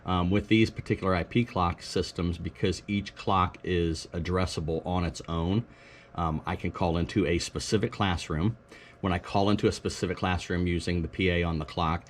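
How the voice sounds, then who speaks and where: Low-pitched male presenter, matter-of-fact informal demo narration, light background noise. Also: fairly even intonation, normal speed. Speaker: school technology staff presenter. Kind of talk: informal product demonstration narration.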